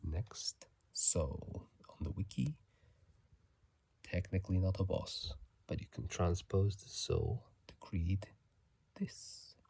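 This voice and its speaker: Guy whispering asmr game commentary real close to his phone mic, slow, gravelly and breathy, kinda halting. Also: sits low, animated, warm tone. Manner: halting, musing, unhurried delivery. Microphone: close phone microphone.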